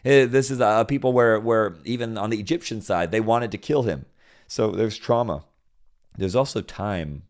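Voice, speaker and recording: A man from Senegal preaching a sermon, with a notably low, deep voice. He reads scripture aloud, repeats key phrases, and climbs to loud, emphatic peaks before dropping back down. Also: moderate pace.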